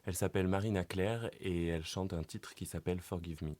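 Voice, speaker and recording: A man's voice: low voice, fairly even intonation, brisk, a little mellow, no room sound. A man co-hosting a talk show, earnest and searching for his words. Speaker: co-host. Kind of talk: casual chat.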